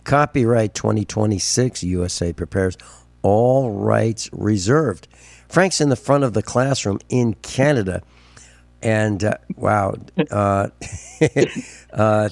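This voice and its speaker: A man's voice: fairly low pitch, vocal fry, darkish tone, no echo. A man hosting a talk radio show, chatty and chuckling, often repeating words.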